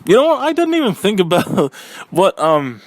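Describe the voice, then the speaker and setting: A man giving a casual, rambling spoken review, halting and slangy, with a silly, giggly manner. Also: high-pitched, big pitch swings, dry recording. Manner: silly, giggly, easily cracks up. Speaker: vlogger giving film and game reviews.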